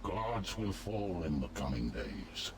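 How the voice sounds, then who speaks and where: Very deep, solemn underworld god. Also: male voice, very animated, slow pace, creaky, full character voice. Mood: grave warning. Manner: solemn, formal, portentous. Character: grave, commanding male god of the underworld. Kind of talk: fantasy game voice acting.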